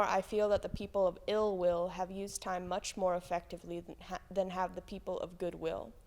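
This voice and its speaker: A woman's voice: medium pitch, darkish tone. Woman reading solemnly in a reverberant hall. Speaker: church member reading aloud. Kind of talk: solemn scripted reading of quotations.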